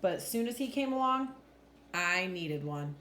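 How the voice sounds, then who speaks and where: A woman reading a children's storybook aloud in an expressive, deliberate storytelling manner. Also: on the low side. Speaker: teacher reading a picture book aloud. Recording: roomy echo with faint background noise.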